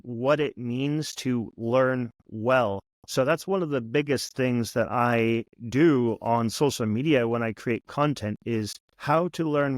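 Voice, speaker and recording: An American man giving measured, explanatory answers as a guest in a conversational interview. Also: mid-range voice, no echo. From United States. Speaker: podcast guest, teacher and content creator.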